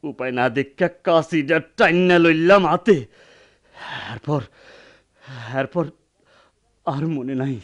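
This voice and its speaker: An actor playing a wounded young peasant in a Bengali radio drama, dazed, pained yet defiant, with a rural Bangladeshi dialect. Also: male voice, on the high side, takes it slowly, long pauses. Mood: aggrieved. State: dazed, in pain.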